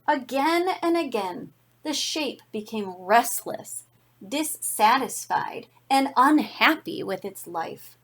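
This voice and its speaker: A woman reading a children's picture book aloud as a teacher, with deliberate, expressive emphasis. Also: on the high side. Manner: deliberate, expressive, dramatic emphasis for young listeners. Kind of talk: read-aloud children's storytelling.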